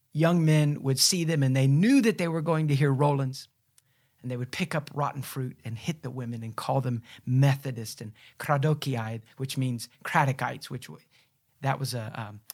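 A man with a mid-range voice introduces a sermon by telling stories from church history. He speaks as a host, informally and earnestly, explaining as he goes. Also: mid-range voice.

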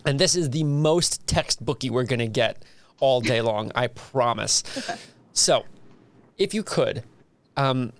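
Man, podcast host, friendly, chatty, laughs lightly, mid pitch. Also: no room sound. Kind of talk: casual interview.

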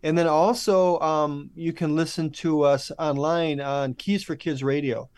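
Higher-voiced man guesting on a podcast. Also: fairly even intonation, unhurried, a little mellow, clean tone. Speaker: podcast guest, audio drama producer. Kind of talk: casual interview chat.

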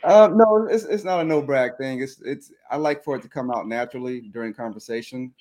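A man's voice: medium pitch, a little fast, a little mellow. A man appearing as a guest on an interview show, answering politely and earnestly and often repeating words as he begins a thought. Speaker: guest, leadership coach. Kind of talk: conversational interview.

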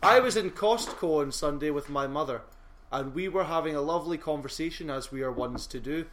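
A man with a slightly high voice tells stories and throws in slow, deadpan silly jokes, sniffing through a cold. It is caught on a phone in a room, with a faint hiss behind him. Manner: jokey, silly, drawn-out deadpan quips. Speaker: podcast co-host. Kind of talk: casual chat, anecdotes. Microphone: phone recording the room.

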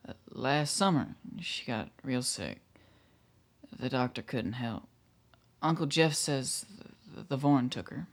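An actor playing a nervous, contrite rural youth of about twenty in a radio play, hesitant, stammering and folksy. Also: male voice, mid-range voice, animated, slow, vocal fry, long pauses, exaggerated voice. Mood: subdued. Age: young adult.

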